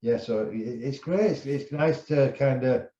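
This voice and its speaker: Show promoter, loud and repetitive, casual podcast chat, echoey muffled sound. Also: male voice, mid-range voice, measured pace. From England. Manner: loud-voiced, repeats phrases. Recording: roomy, dull sound.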